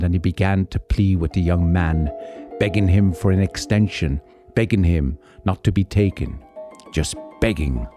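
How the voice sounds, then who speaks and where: A man narrating a folk tale as a storyteller, with theatrical, deliberate phrasing. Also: low-pitched, dark tone.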